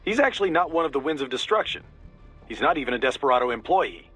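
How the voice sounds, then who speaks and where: An actor playing an easygoing, knowledgeable mission support adviser in a video game, giving a casual, explanatory briefing in a husky voice. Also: male voice, mid-range voice, very animated, fast, a bit bright, soft background music. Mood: informative, relaxed. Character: easygoing male military intelligence support adviser, knowledgeable, informal.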